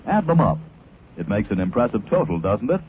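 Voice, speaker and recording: Lively 1940s American radio announcer man. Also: on the low side, fairly quick, slight background noise. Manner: persuasive, polished. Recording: tinny 1940s radio broadcast.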